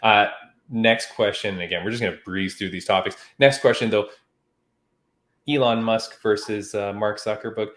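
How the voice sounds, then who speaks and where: A man from Canada hosting a podcast, talking in a relaxed, chatty way. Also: lowish voice, moderate pace. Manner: relaxed, chatty, frequent "like" and "I mean". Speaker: podcast host. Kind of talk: casual podcast chat.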